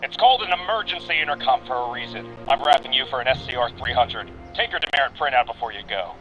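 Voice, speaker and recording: An actor playing a stern, officious police officer in a video game, curt and accusatory. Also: male voice, medium pitch, animated, quick pace, bright, forceful tone, soft background music, low-quality recording.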